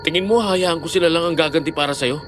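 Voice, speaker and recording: A man's voice: fairly high pitch, fast talker, lightly acted. An actor playing a protective, determined young boyfriend in an audio horror drama, firm in his manner. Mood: angry resolve. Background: music.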